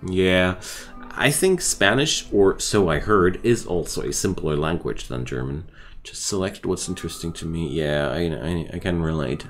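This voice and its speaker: A man's voice: sits low, animated, normal speed, soft background music. A man who is not a native English speaker, chatting casually and rambling with viewers while streaming a game. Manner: rambling and chatty, restarts thoughts.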